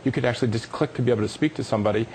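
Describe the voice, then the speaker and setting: A man's voice: mid-range voice, very fast, darkish tone, slight background noise. A man being interviewed as a company executive on a live business TV show, explaining technology with emphatic enthusiasm.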